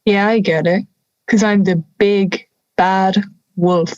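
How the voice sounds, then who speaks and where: A woman's voice: medium pitch, fairly even intonation, slow, darkish tone, dry recording, dull audio, close to an everyday voice. A young woman playing a friendly male wolf with a dry, sardonic manner in an audio drama. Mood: wry, defensive. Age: young adult. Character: friendly male big bad wolf, wry and gentle.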